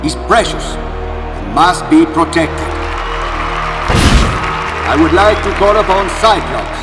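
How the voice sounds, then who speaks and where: High-voiced, echoing commander at a rally. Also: male voice, big pitch swings, slow pace, distant mic. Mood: solemn, rousing. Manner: declamatory, emphatic. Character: resolute middle-aged male military commander giving speeches. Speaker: public speaker. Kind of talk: formal political oratory. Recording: echoing public address with music and crowd.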